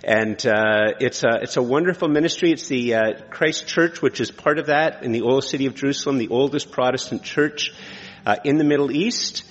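A man's voice: mid-range voice, moderate pace, lo-fi sound. A man speaking informally as a pastor hosting a church service, with a conversational, self-deprecating manner. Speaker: pastor hosting and introducing a guest preacher. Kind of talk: informal church introduction and prayer. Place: large church room. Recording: echoey hall with long reverb.

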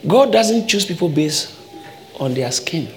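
Male pastor, high animated preaching voice, repeats lines for punch, reverberant church sound. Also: measured pace, a crowd in the background. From Ghana. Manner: emphatic preaching with repeated phrases. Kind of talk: sermon.

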